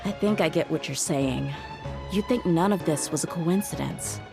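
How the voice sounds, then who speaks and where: A woman's voice: low-pitched, normal speed, creaky. A woman playing a tough, loyal young mercenary bodyguard, frank and principled, in an anime-style video game. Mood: puzzled, candid. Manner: frank, firm. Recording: music behind the voice.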